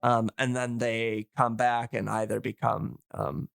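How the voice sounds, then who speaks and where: A man explaining things casually on a podcast as an enthusiast, in a halting, rambling way full of fillers. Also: medium pitch, level tone, gravelly, clean tone, dry recording, studio quality. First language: English. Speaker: podcast speaker on old-time radio.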